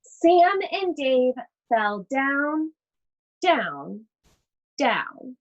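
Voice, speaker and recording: Slow, animated woman reading to children. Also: high-pitched, highs cut off. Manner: expressive, addresses young listeners directly. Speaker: teacher reading a picture book aloud. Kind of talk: children's read-aloud with chatty asides.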